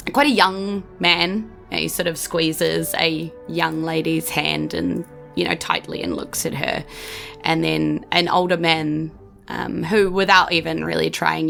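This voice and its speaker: A woman's voice: sits low, creaky, a bit bright. A woman with a New Zealand accent running a tabletop game as game master, narrating with many fillers and restarts. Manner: hesitant, full of fillers and restarts.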